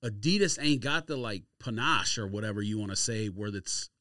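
A man's voice: on the low side, lively intonation, a little fast, bright, forceful tone, no room sound, studio quality. A man hosting a podcast, chatting casually about sports and sneakers in an emphatic, opinionated, slangy way. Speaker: podcast host.

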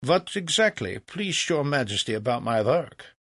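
A man voicing a blunt, headstrong young composer who speaks calmly and directly. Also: mid-range voice, moderate pace, husky, no room sound, studio quality, voice pushed up. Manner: calm, direct.

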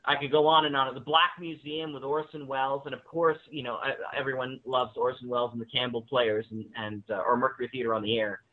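A man's voice: mid-range voice, fairly even intonation, fast talker, hardly any pauses. A man speaking as a guest in a casual interview, rambling enthusiastically about his work and interests. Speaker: guest, audio drama writer and director. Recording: narrow-band phone-like line.

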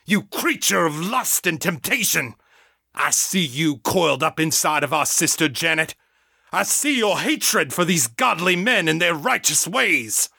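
A man's voice: on the high side, sing-song, a little slow, creaky, sharp tone, husky, no room sound, clean studio sound, voice pushed up, heavily acted. A man doing a thundering exorcist preacher. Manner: thundering, commanding. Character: bold male preacher staging an exorcism.

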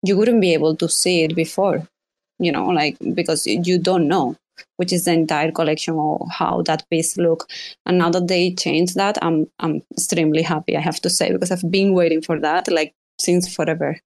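Casual woman, lowish voice, very dry sound. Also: fairly even intonation, normal speed, a little mellow, no echo. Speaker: speaker in an online audio space. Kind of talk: casual chat.

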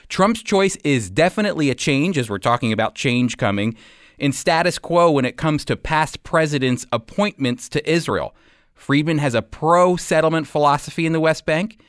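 A man's voice: a little high, no echo, studio quality. A man with an American accent hosting a radio program, giving scripted Bible teaching and commentary in an earnest, emphatic way.

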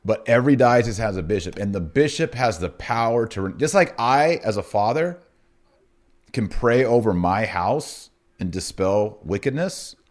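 Animated man, swooping mid-range voice. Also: lowish voice, moderate pace. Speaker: podcast host. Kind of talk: conversational religious commentary.

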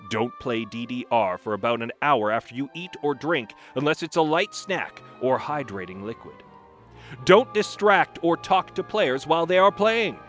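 A man gives a mock-serious, theatrical dramatic reading of how-to tips in a deliberate way. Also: mid-range voice, expressive, fairly bright tone. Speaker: reader of a comic dramatic reading. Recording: music playing behind the voice.

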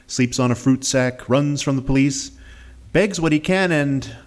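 Grown man doing a high boyish voice, scrappy little thief kid, breezy and cheeky. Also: unhurried, close to an everyday voice. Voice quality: deep baritone voice pushed high. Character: starved flea-bitten boy thief.

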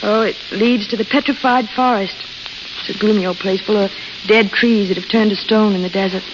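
A woman's voice: medium pitch, fairly even intonation, fairly quick, natural voice. Woman acting young desert waitress, frank n dreamy, eager, old radio play, muffled hissy sound. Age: young adult. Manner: plain-spoken, eager, wistful. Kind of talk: 1930s-40s radio drama acting.

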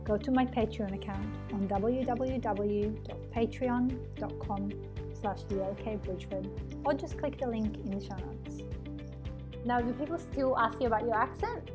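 A woman's voice: on the high side, normal speed, over music. A woman hosting a podcast who speaks English as a second language with a non-native accent, talking earnestly and with emphasis. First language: not English. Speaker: podcast host. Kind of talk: casual conversational interview.

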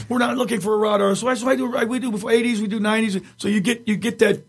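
A middle-aged man with a raspy, husky voice that sits high for a man. He rambles through his stories in loose, casual interview chat, circling back with restarts. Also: fast. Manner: rambling storyteller, many restarts, frequent chuckles. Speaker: guest, tribute singer.

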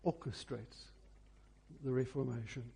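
A man's voice: mid-range voice, a little slow, creaky, dark tone, a little hiss or hum. A man preaching a sermon, phrasing emphatically and repeating key words for effect.

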